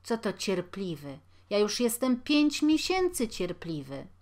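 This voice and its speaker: A woman's voice: medium pitch, sing-song, measured pace, a little mellow. A woman voicing an elderly male landlord who mutters and grumbles indignantly.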